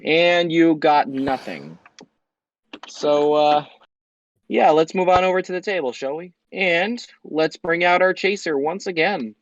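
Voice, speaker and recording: A man hosting an amateur quiz show, upbeat and brisk as he announces questions. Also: a little high, no echo, muffled recording.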